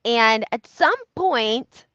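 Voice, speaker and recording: A woman's voice: a little high, lively intonation, very slow, sharp tone, no echo. A woman giving casual gossip commentary as a video host, speaking emphatically and often repeating herself for effect, on a slightly lo-fi recording. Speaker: YouTube host recapping reality TV. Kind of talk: casual gossip commentary, talking to live audience.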